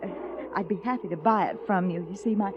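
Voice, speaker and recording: An actress playing a polite, apologetic, long-suffering frontier wife with a hesitant manner, in an old-time radio Western. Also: female voice, mid-range voice, quick pace, close to an everyday voice. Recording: muffled, noisy old radio recording.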